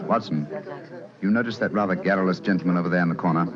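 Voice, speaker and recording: Man acting inquisitive, fast-talking radio detective. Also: deep voice, a crowd in the background, natural voice. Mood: intrigued. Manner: courteous, probing, precise. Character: keen detective travelling incognito, courteous, inquisitive, shrewd. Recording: muffled, hissy old-time radio recording.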